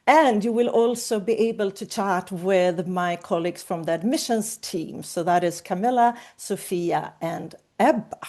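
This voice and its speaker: A woman presents a webinar over a video call. Her voice is mellow and warm, at a mid pitch. She speaks in a clear, upbeat way as she guides the audience through the session.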